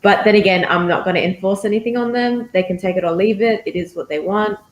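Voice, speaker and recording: A woman's voice: medium pitch, fast. A woman guest on a panel who speaks frankly and easily, with some filler ums. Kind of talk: panel discussion. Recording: echoey room.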